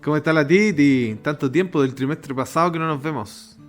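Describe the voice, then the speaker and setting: Upbeat young podcast host. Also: male voice, a little high, fairly quick, faint music behind. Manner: upbeat, chatty, laughs easily. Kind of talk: podcast interview.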